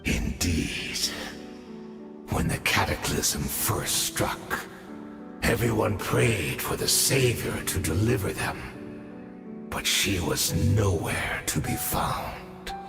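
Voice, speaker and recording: Slow, gravelly immortal old swordsman. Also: low voice, lively intonation, exaggerated voice. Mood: solemn, earnest. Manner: measured, wry, conversational taunting with rhetorical questions. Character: weary, cursed immortal old male swordsman, wryly courteous. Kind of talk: dramatic video game voice acting. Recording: long cavernous reverb, music behind.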